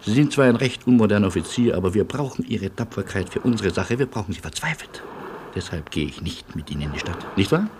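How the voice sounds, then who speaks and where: Male actor, persecuted philosopher, low pitch, deliberate musing delivery, nervous but indomitable, noisy radio drama recording. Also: expressive, lightly acted. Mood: gentle, pleading. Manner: deliberate, musing, theatrical with hushed drops. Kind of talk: German radio play acting.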